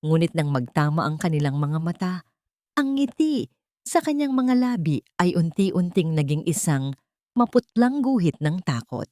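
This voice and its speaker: A woman with a Filipino accent narrating a scripted dramatic story in a measured, theatrical way. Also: lowish voice, very animated, dark tone, no room sound, clean studio sound.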